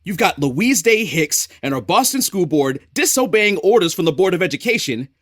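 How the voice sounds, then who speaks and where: Exasperated, streetwise storyteller for audio drama: colloquial, rhythmic, very lively delivery, dry sound. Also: male voice, high voice, moderate pace, no room sound, full character voice. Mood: exasperated, urgent. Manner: colloquial, rhythmic, repeats phrases for effect. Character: streetwise, exasperated narrator of a city in crisis.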